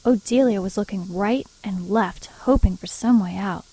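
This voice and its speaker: An actress playing an earnest, proper nun who narrates strange events in an audio drama. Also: female voice, mid-range voice, mellow, breathy edge, low-quality recording. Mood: grave narration. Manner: measured storytelling, primly earnest.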